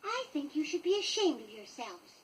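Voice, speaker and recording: Lady doing a prim little girl, motherly and firm, pitched up high, kids story on a noisy 60s record. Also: sing-song, moderate pace. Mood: indignant. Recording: noisy 1960s vinyl record.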